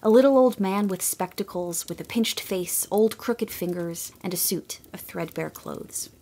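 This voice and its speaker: A woman narrating a storybook tale for an audio drama in a measured, gentle way. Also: mid-range voice, slight background noise.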